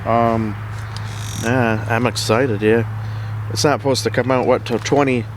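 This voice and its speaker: A man's voice: mid-range voice, steady tone, measured pace, slight background noise. A man of about thirty chatting in a casual, informal, sweary way. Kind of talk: casual chat.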